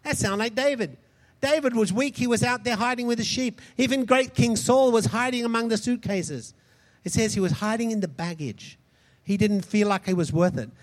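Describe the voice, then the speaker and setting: A man preaching a sermon, impassioned, swinging between loud shouts and soft, quick asides. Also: very high voice. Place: large hall converted into a church.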